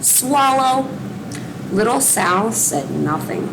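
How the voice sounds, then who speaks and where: A woman reading a children's story aloud slowly, with a lively, swooping voice and a gravelly edge. Outdoor traffic noise hums behind her. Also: mid-range voice. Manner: slow, expressive storytelling.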